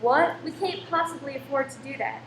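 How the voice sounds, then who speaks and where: Radio play lead: exasperated, businesslike woman actress, high voice, roomy sound with faint noise. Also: brisk, lightly acted. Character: brisk, exasperated woman running a cinema. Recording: echoey room, faint background noise.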